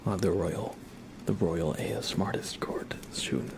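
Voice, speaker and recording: Male ASMR streamer, hushed whisper with heavy fry, gentle and courteous, casual delivery close to the microphone. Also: deep voice, normal speed. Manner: soft-spoken, gentle, polite. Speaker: ASMR livestreamer reading tarot cards and chat.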